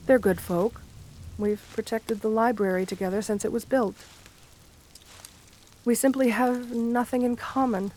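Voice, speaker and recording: A woman voicing a shy, lonely, romantic gargoyle girl in a gentle ASMR roleplay, speaking tenderly with formal, bookish wording. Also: fairly high pitch, fairly even intonation, measured pace, pauses often, slight background noise, lightly acted. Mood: shy, earnest. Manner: tender, soft-spoken, formal old-fashioned wording.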